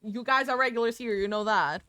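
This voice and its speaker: Bright, chatty woman streamer. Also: on the high side, brisk. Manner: chatty, rambling, answers chat aloud. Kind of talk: casual gaming stream chat. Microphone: desk microphone.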